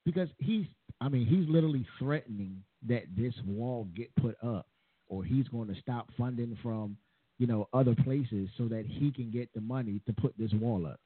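A pastor in his forties hosting a casual talk show, chatty and quick to laugh, on a tinny, dry recording. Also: medium pitch, slightly rough, dry recording. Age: 40s. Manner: chatty, laughs often, repeats phrases. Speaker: pastor and host. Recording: tinny phone-like, dry sound.

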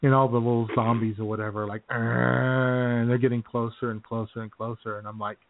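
A man's voice: mid-range voice, flat delivery, a little fast, creaky. A man co-hosting a radio show in casual, comedic chat, telling stories in a jokey, self-deprecating way. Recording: tinny phone-like sound.

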